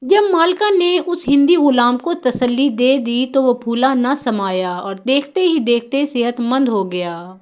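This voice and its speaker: A woman's voice: a little high, animated, hardly any pauses, a little mellow. A woman narrating a scripted moral tale as a storyteller.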